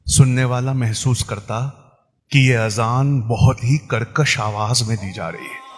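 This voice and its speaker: A man with an Indian accent giving impassioned religious oratory in a deliberate, rhetorical, emphatic way. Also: medium pitch. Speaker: religious speaker addressing a gathering. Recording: echoey hall PA with background music.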